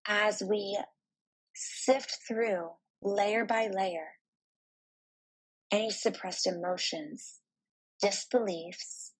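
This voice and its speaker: A woman with a medium-pitched, soft and breathy voice guides a meditation. Her delivery is slow and deliberate, with soothing phrasing and pauses between thoughts. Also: rough recording.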